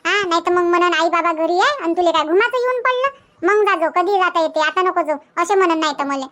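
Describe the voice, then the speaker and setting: A woman's voice: very high-pitched, lively intonation, fairly quick, a bit bright. A woman voicing a chirpy, curious younger cartoon housewife with a Varhadi Marathi accent, full of exclamations and questions. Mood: hesitant, chatty. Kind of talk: cartoon comedy dubbing.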